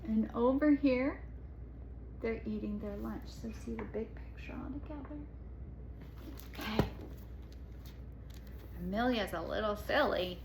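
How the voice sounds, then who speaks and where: A woman's voice: on the high side, measured pace, long pauses, background noise. A woman reading a storybook aloud to children, expressively, with explanatory asides and questions to the listeners.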